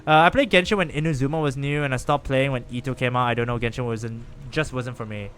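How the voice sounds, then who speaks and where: A man chatting casually with viewers and reacting out loud while he plays a game on a live stream. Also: medium pitch, fast, a little hiss or hum. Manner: chatty, animated, lively reactions while playing.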